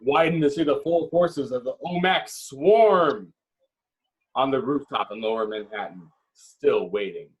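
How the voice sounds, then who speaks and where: A man's voice: medium pitch, unhurried, poor audio. A man reading screenplay stage directions as the dramatic narrator of a table read.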